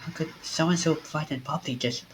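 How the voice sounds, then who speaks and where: A man's voice: a little high, steady tone, a little fast, slight background noise, natural voice, rough recording. A man voicing a frightened young man whose friend has collapsed, reading a visual novel aloud and stammering in panic, with game music behind. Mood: grieving, resolved. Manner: stammering, panicky, then quietly resolved.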